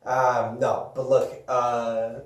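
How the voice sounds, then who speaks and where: A man's voice: mid-range voice, very slow. Young man in an echoey room, off-mic. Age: 20s. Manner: informal, animated opinions with self-interruptions. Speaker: podcast co-host. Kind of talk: casual chat about video games and films. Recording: echoey room, distant microphone.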